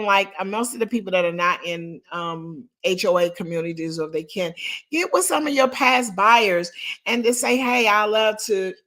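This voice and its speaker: Raspy, emphatic woman coach. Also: medium pitch. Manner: animated, emphatic, talks straight to the audience. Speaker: real estate coach. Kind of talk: live online coaching session.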